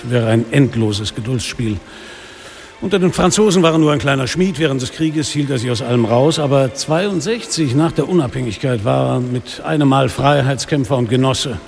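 An actor playing a weary, defiant middle-aged police inspector who narrates and speaks bluntly in a German radio crime drama. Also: male voice, mid-range voice, moderate pace, vocal fry, a little mellow, faint music behind, natural voice. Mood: bitter, narrating. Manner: blunt, sardonic, literary phrasing. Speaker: lead actor and first-person narrator.

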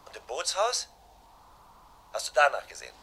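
An urgent, worried husband in an old radio thriller. His voice is fairly high and swings widely as he presses with short questions and orders. The recording carries some noise. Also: wildly swooping pitch, normal speed, bright, forceful tone, full character voice. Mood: guarded, worried. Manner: insistent, urgent, clipped questioning and instructing. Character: anxious, urgent husband giving hushed orders by telephone. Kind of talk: German radio crime play acting, 1950s to 80s. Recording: noisy vintage radio play recording.

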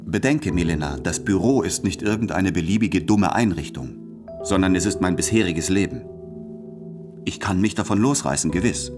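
A troubled, conscientious civil servant in a radio play, with a fairly low voice. He speaks earnestly and deliberately over clearly heard music. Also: lively intonation. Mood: torn, earnest. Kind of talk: literary radio drama monologue.

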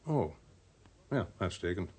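An actor playing a weary, patient police inspector in a radio play, polite and slightly hesitant. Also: male voice, sits low, big pitch swings, slow, a little mellow, husky, full character voice. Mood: businesslike.

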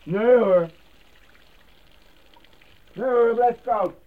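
A man's voice: extremely high pitch, very slow, heavily acted. A man acting as a weary, skeptical husband in a radio drama, talking in a colloquial, wry way. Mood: wry, resigned. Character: weary, skeptical unemployed husband, former salesman. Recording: thin phone-like old radio recording.

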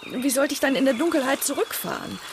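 A woman's voice: high voice, lively intonation, fast. Aggrieved woman, outdoor noise behind. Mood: upset. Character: aggrieved, caught-out girlfriend explaining herself. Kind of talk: German radio crime drama acting. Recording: clearly noisy outdoor scene ambience.

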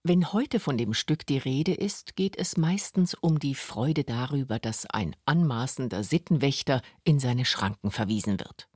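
A male narrator reads a scripted documentary. His voice sits at a medium pitch and is recorded close and dry, in a studio. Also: animated, measured pace, hardly any pauses, a little mellow, dry recording.

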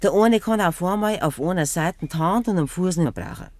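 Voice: medium pitch, fairly quick, no echo, close to an everyday voice. A performer playing a knowing village gossip with a Bavarian accent in a 1950s radio play, terse and matter-of-fact. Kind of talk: 1950s German dialect radio play acting.

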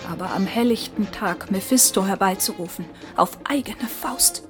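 An actress playing a pious, jealous woman in a radio play, accusing in a hushed, knowing, breathy way. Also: medium pitch, normal speed, natural voice. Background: music.

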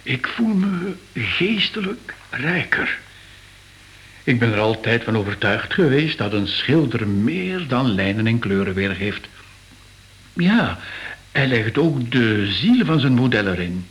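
An earnest art curator with a mystical streak in a radio drama. He speaks very slowly and solemnly, in a medium-pitched man's voice, reflective and spellbound. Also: very animated, a bit bright, exaggerated voice. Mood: fervent, reflective. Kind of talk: Dutch-language radio play acting.

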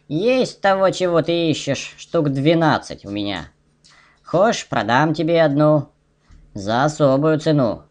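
A man's voice: fairly high pitch, voice pushed up. A man voicing an old bloodthirsty swamp ghoul, a sly trader bargaining in comic rhyme for a fairy-tale audio story.

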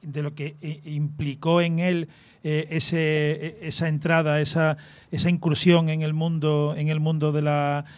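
A man with a voice pitched a touch above average hosts a radio conversation. He praises his guest freely. He hesitates often and keeps tagging his remarks with little questions. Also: flat delivery, dry recording. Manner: effusive, fills pauses with "eh" and "¿no?". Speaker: radio host. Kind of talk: talk show interview.

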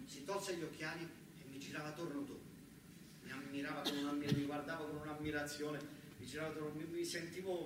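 Male storyteller, southern Italian accent, comic colloquial monologue, recorded distantly in a very echoey hall. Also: fairly high pitch. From southern Italy. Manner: animated, colloquial, repeats words for effect. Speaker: stage actor and storyteller. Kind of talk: comic theatrical monologue as a factory worker. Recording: echoey hall, distant mic, noisy background.

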